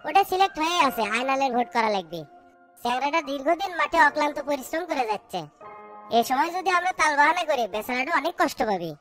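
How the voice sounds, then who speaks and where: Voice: sits high, expressive, quick pace, pushed and bright, faint music behind. A performer voicing scheming, boastful small-town political men in a cartoon comedy, blustering colloquially. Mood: opinionated.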